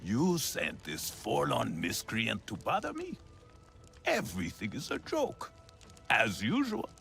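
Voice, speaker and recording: An actor playing a grumpy, disdainful alien cook in a video game, with a sardonic, pompous manner. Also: male voice, medium pitch, huge pitch swings, slow, vocal fry, a bit bright, soft background music, heavily acted. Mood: irritated. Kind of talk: dramatic video game acting.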